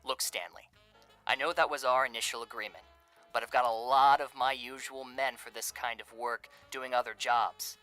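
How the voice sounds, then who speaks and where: An actor playing a slangy, falsely genial and menacing 1930s crime boss in a radio drama. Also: male voice, medium pitch, fairly even intonation, moderate pace, sharp tone, faint music behind, lightly acted, poor audio. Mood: businesslike, dismissive. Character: smooth, menacing male crime boss of Depression-era New York.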